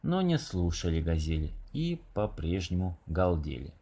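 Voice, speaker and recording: Low-pitched, husky man reading a children's tale. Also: sing-song, moderate pace, mellow. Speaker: storybook narrator, reader. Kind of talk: expressive scripted reading of a children's verse tale.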